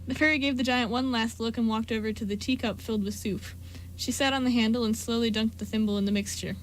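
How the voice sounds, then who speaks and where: Higher-voiced woman narrating a radio play. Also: steady tone, quick pace, a little hiss or hum, poor audio. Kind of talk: scripted story narration.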